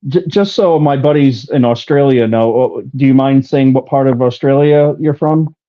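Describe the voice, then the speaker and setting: A man hosting a call-in show, talking in a relaxed, jokey way and laughing easily. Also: mid-range voice, moderate pace, lo-fi sound. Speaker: host. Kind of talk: casual call-in chat.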